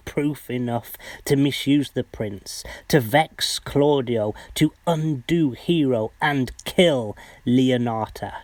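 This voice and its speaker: A man acting a sly, cunning henchman in a Shakespearean radio play, deliberate and conspiratorial. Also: medium pitch, a little mellow, dry recording, natural voice. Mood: sly plotting. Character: sly, cunning henchman plotting slander for pay. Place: home recording.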